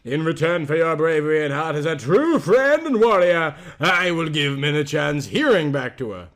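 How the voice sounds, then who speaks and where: A solemn, benevolent spirit maiden acted by a man in a fantasy story reading. The delivery is ceremonious, slightly high in pitch. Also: sing-song, normal speed, a bit bright. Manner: formal, ceremonious. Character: solemn, benevolent long-haired spirit maiden granting gifts. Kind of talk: dramatic amateur story reading.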